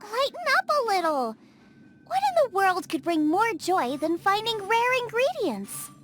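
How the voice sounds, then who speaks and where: A woman voicing a cheerful young girl chef who gets excited about ingredients, over loud game music. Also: extremely high pitch, unhurried, exaggerated voice. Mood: eager.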